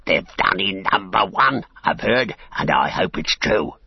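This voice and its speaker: A man's voice: lowish voice, expressive, measured pace, husky, no room sound, lo-fi sound, pitched above the performer's own voice, full character voice. A man voicing a small male orc tracker in a storybook, grumbling, sneering and insolent, jeering and whining his complaints. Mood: frightened rage.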